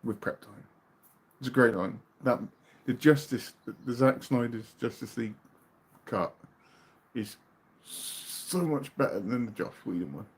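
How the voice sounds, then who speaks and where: A man's voice: mid-range voice, unhurried, slight background noise. Chatty British man hosting a live chat. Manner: relaxed, chatty, trails off into quiet mumbles. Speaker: livestream host. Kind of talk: casual live chat reading viewer comments.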